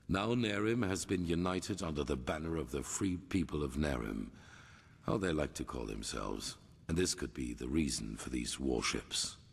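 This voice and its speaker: A very deep, gravelly male voice in a long reverberant hall, with music behind it. a solemn older leader of a fantasy order, commanding and formal, with strongly varied intonation. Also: exaggerated voice. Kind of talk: cinematic fantasy game voice acting.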